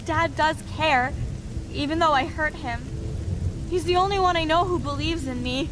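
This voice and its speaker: A woman's voice: high-pitched, normal speed, background noise, close to an everyday voice. A woman playing a despairing, hurt teenage schoolgirl in a dramatic video game. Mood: anguished. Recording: game music and rain behind.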